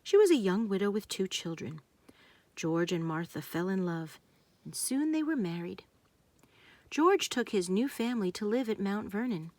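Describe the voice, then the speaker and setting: A woman narrating a children's storybook, reading aloud in simple, deliberate phrases meant for young listeners. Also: mid-range voice, lively intonation, darkish tone.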